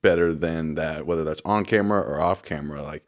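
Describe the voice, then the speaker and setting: A man's voice: rumbling low, brisk. A young man co-hosting a faith podcast, talking easily and thinking aloud with frequent agreeing yeahs. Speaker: podcast co-host. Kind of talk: casual chat.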